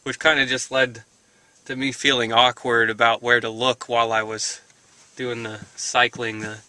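A man's voice: medium pitch, flat delivery, moderate pace, bright, forceful tone, dry recording. A man in his twenties talking casually to a handheld camera from his parked car, rambling and correcting himself with small chuckles. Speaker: vlogger. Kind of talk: casual video diary. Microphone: handheld camera at arm's length.

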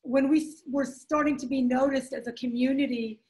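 A woman's voice: sits high, steady tone, fairly quick, a little mellow. A woman speaking emphatically in a panel discussion on a video call, restarting her phrases.

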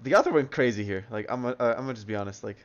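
A man's voice: mid-range voice, very fast. A man leading a casual group readthrough with friends, joking, teasing and swearing freely. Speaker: host leading a comic readthrough. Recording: dull lo-fi mic sound.

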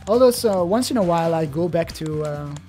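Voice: medium pitch, lively intonation, a little fast, warm tone, soft background music. A young adult from Belgium, a native Dutch speaker with a Belgian Dutch accent, chatting casually in hesitant, restart-filled commentary while opening card packs.

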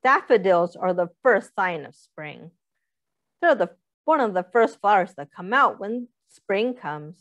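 A woman's voice: medium pitch, big pitch swings, unhurried, a bit bright, no echo, highs cut off. A young woman reading a picture book aloud to small children, expressively, with simple questions and explanations for her listeners. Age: young adult. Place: small indoor room, seated.

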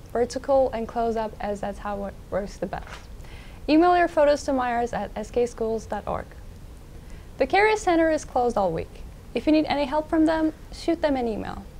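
A teenage girl from Turkey reads the school news as a student anchor in a small school TV studio. Her voice sits fairly high, with a faint hiss behind it. She keeps a bright, friendly delivery. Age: teens. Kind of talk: reading school announcements.

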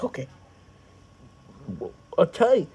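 A man doing an exaggerated, excitable amateur parody dub of a cartoon, prone to shouting and yelping. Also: slow, a little hiss or hum. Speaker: amateur fan dubber.

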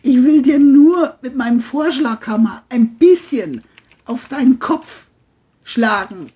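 A man voicing a crazed, murderous man in a crime audio drama, coaxing his victim in a drawn-out, mock-friendly singsong. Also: extremely high pitch, lively intonation, mellow, voice pushed up, full character voice. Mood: taunting, menacing.

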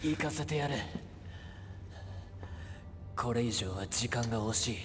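An actor playing a brooding, solemn young poet in a game, speaking with grave, formal restraint. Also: male voice, medium pitch, measured pace, big-room sound, natural voice. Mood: solemn, urgent. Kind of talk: video game cutscene acting.

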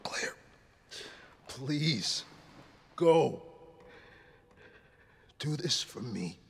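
Gravelly wounded American police lieutenant. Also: male voice, medium pitch, lively intonation, drawn-out words, exaggerated voice. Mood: pained, pleading. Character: wounded middle-aged police lieutenant, stoic and protective. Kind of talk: video game cutscene acting.

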